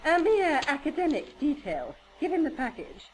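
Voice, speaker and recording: A woman's voice: high-pitched, big pitch swings, moderate pace, a little hiss or hum, low-quality recording. An actress playing a grand, bossy, eccentric lady in a video game, with an imperious, flamboyant delivery. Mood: commanding.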